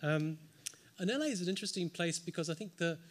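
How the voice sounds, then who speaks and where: A man's voice: high-pitched, expressive, quick pace. A man from the United Kingdom, giving an unscripted panel response in a large lecture hall into a table microphone, thoughtful with frequent ums and dry asides. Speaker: symposium panelist.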